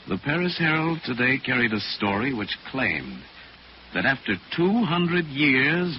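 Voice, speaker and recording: An actor playing a calm, authoritative crime-fighting detective in 1940s radio style, with measured, formal, explanatory delivery. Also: male voice, mid-range voice. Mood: composed, thoughtful. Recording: noisy, muffled 1940s radio recording.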